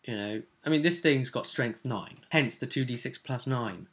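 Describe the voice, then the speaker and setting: British man, game master, bright voice, mid pitch, casual storytelling, animated, acts out players' lines. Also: normal speed. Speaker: game master and host of a tabletop role-play vidcast. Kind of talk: casual recounting of a tabletop game session.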